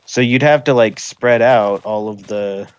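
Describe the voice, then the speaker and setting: A man talking casually with friends on a livestream, halting and full of fillers. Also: on the low side, smooth, lo-fi sound. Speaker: livestream gamer. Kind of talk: casual chat.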